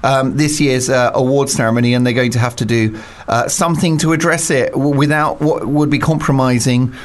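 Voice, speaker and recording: A man hosting a topical panel talk show, speaking in an animated, emphatic way. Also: mid-range voice, a little fast. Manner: animated, emphatic, rises on key words. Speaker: host.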